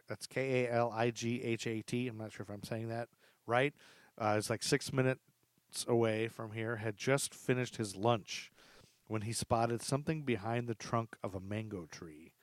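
A man's voice: mid-range voice, no room sound. A male podcast host narrates in a mid-range voice, moving between reading from notes and casual chat. He is informal and drops in joking asides. The sound is dry, with very little room in it. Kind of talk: true crime storytelling, part read from notes, part casual chat.

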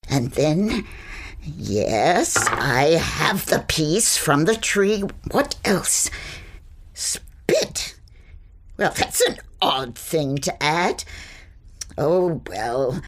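Eccentric old witch grandmother played by a woman: lowish voice, slow, lively swings, theatrical and easily exasperated, fantasy radio play. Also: full character voice. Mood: muttering, absorbed. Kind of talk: audio fiction fantasy acting.

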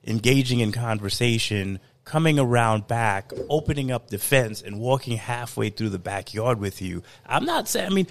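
A man in a casual discussion who talks in a measured, reflective way, full of "you know" fillers. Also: medium pitch, lively intonation, vocal fry.

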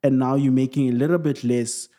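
A man co-hosting a relaxed Q&A with his wife, talking things through with rambling examples and playful asides. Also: mid-range voice, brisk. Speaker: podcast co-host, singer. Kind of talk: casual couple Q&A chat.

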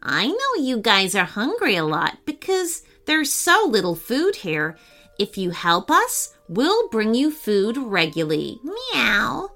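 A squeaky, eager young cat from a storybook, played by a woman, tagging her words with little meows. Her voice is high and jumps all over, with faint music behind. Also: huge pitch swings, takes it slowly, bright, forceful tone, exaggerated voice. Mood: pleading. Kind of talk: storybook reading.

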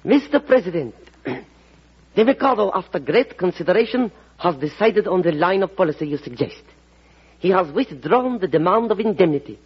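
Dignified Japanese envoy, measured and polite, high voice on a muffled vintage radio recording. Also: male voice, animated, slightly rough. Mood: solemn, courteous. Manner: formal, measured. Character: courteous, dignified Japanese male ambassador. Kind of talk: old-time radio drama acting.

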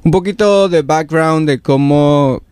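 A man's voice: on the high side, slow pace, a little mellow, clean tone, no room sound, clean studio sound. A man co-hosting a podcast, chatting casually, asking easygoing questions and chuckling often. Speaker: podcast co-host. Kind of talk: casual chat.

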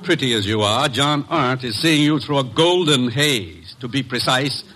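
An actor playing a hard, vindictive rich uncle, curt and scornful, in 1950s radio drama style. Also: male voice, mid-range voice, moderate pace, pushed and bright. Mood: cold scorn.

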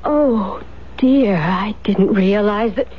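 An actress playing a cold, vengeful, sardonic wife in a 1970s radio drama, measured and icily polite. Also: female voice, mid-range voice, close to an everyday voice. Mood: mock sweetness. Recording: dull, faintly noisy 1970s radio recording.

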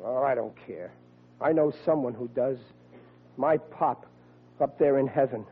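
An actor playing a bumbling, excitable young rookie cop in 1940s radio comedy style, stammering in ungrammatical everyman talk. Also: medium pitch, a little slow, husky. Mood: dejected. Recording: tinny old radio recording.

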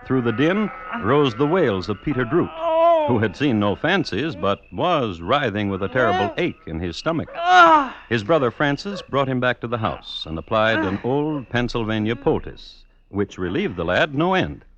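A man's voice: mid-range voice, very animated, normal speed, fairly bright tone. An American man narrating as host in a dry, wry, folksy 1950s radio storytelling style. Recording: muffled old radio recording.

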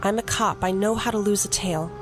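An actress playing a young police officer torn between love and duty, tender yet firm. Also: female voice, mid-range voice, a little fast, over music, lightly acted. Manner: tender, then firm and commanding.

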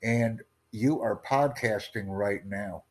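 A man's voice: fairly low pitch, takes it slowly. A man hosting a casual video chat, earnestly promoting his language course and repeating himself. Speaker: language-learning channel host.

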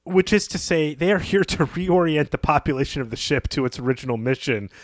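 A man's voice: a little high, animated, a little fast, no room sound. Reflective man discussing a story. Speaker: podcast co-host.

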